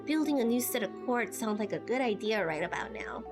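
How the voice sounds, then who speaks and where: Woman acting a spirited teenage girl adventurer, fairly high. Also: lively intonation, a little fast, over music, close to an everyday voice. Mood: friendly, casual. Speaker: amateur voice actor playing through a game. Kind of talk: amateur game dialogue voice acting.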